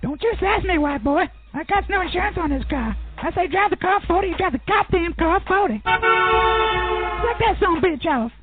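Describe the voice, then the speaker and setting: Sassy, scolding woman driving instructor, extremely high voice, tinny radio-spoof sound. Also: lively intonation, normal speed. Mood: scolding, angry. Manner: scolding, exclamatory, slangy. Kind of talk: broad comedy radio ad sketch. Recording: tinny lo-fi, faint music.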